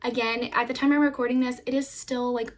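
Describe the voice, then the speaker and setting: A young woman vlogging casually at home, her voice a little raspy and froggy, rambling and relaxed with lots of "like.". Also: a little high, a little fast. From Florida. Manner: relaxed, rambling, uses "like" often. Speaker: vlogger, stay-at-home mom. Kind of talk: casual chatty vlog narration.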